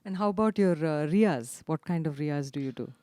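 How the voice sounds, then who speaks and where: A woman's voice: deep voice, lively intonation, moderate pace, mellow, no room sound. A woman interviewer asking questions and thanking her guest politely. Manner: polite, appreciative. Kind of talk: casual interview chat.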